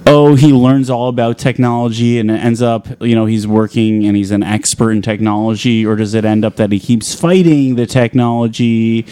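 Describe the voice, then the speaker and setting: Co-host type: man, mid-pitched, easygoing and rambling. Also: fairly even intonation, moderate pace, hardly any pauses, mellow, smooth, no room sound. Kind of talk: casual radio chat.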